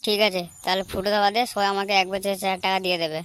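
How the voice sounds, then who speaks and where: A man voicing a young male tea seller in an amateur comedy cartoon, grumbling and complaining, quick to take offence. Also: sits high, flat delivery, fairly bright tone, clear, resonant tone. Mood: indignant.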